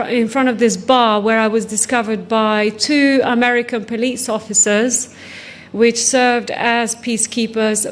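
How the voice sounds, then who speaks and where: Higher-voiced woman giving personal testimony. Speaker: guest speaker, trafficking survivor and author. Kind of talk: personal testimony told to a conference audience.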